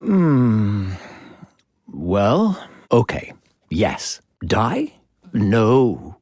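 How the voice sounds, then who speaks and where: A male voice actor playing a smooth-talking, secretly sinister video game narrator, theatrical, coaxing and condescending toward a child. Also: fairly low pitch, huge pitch swings, very slow, a little mellow, dry recording, studio quality, exaggerated voice. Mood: coaxing, sly.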